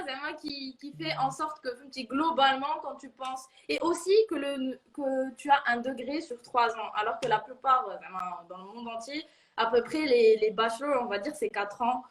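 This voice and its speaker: Woman, early twenties, Moroccan accent, high pitch, casual interview chat, slangy, giggly. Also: moderate pace, a bit bright, poor audio. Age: early 20s. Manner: informal, giggly, peppers talk with slang and fillers. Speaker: international university student giving a testimonial.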